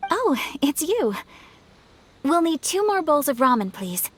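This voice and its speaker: A woman voicing a polite, refined young noblewoman who speaks gently in game dialogue. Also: sits high, sing-song, a little slow, a little hiss or hum.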